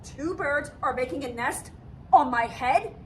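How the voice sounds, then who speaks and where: A woman voicing an anxious, excitable elephant in an animated children's picture book read-aloud, exclaiming dramatically. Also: sits high, measured pace, slight background noise. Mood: anxious disbelief. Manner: dramatic, exclaiming, swinging from fretful to outraged.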